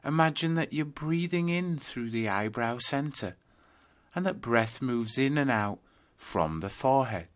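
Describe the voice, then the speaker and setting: Man guiding meditation, widely varying pitch. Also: animated. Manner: instructional, deliberate, with pauses between phrases. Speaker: yoga nidra meditation guide. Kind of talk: guided meditation narration.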